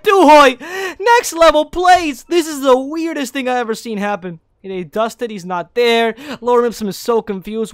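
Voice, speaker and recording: A man calls an esports match play by play in a fairly high register. His voice is naturally low, but he keeps it lifted for the cast. He gets excitable and breaks into high shouts when the big plays land. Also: dry recording. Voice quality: naturally low voice kept higher for casting. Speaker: esports caster.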